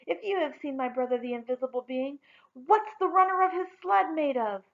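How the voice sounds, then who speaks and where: A woman voicing a wise, warm-hearted sister in a storybook, with emphatic, wondering delivery. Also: sits high, brisk, vocal fry, phone-quality sound, pitched above the performer's own voice. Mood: excited wonder.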